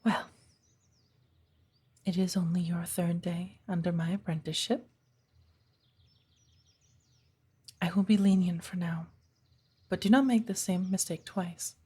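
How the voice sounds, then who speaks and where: Woman playing a strict but patient witch teacher, asmr roleplay, breathy husky voice, dark and relaxed, birds chirping in the background. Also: fairly low pitch. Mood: stern. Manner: measured, formal, instructive, commanding. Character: stern, patient witch mentor of the woods. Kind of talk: ASMR roleplay addressed to the listener as apprentice. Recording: birdsong behind the voice. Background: birdsong, woodland ambience.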